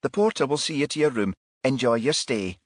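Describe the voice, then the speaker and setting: A man's voice: mid-range voice, animated, brisk, no room sound, studio quality, pitched above the performer's own voice, close to an everyday voice. A man voicing a polite, obliging female hotel receptionist in an audiobook, with a courteous service tone.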